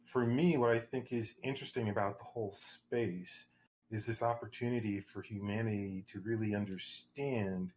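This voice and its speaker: Low-voiced male panelist, discursive, full of fillers and restarts. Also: a little hiss or hum. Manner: rambling, with many restarts and fillers. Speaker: workshop participant.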